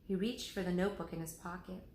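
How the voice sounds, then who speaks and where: A woman's voice: on the low side, fast, reverberant. Woman reading a children's story aloud. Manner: expressive read-aloud, lively character voices.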